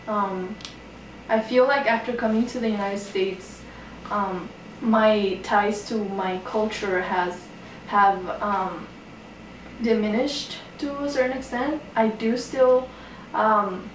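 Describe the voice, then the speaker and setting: A young woman answering an interview carefully and hesitantly, with many pauses and ums. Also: mid-range voice, steady tone, reverberant, distant mic, slight background noise, low-quality recording.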